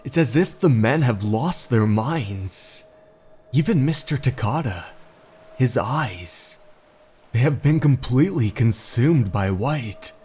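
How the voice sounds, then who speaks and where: Slow, brooding male horror narrator. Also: medium pitch, expressive, darkish tone, a little hiss or hum.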